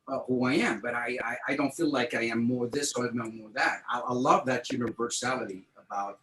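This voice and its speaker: A man's voice: medium pitch, brisk, a bit bright. A man telling a travel story on a casual podcast chat, with many repeated words and restarts. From Tunisia. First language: French. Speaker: podcast host. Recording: echoey room, faint noise.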